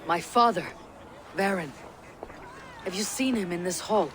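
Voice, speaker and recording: A woman plays a young Viking warrior, earnest and searching, with a husky voice. She speaks slowly in a dramatic game style, over noisy ambience. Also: fairly low pitch, close to an everyday voice. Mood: troubled. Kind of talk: dramatic video game acting.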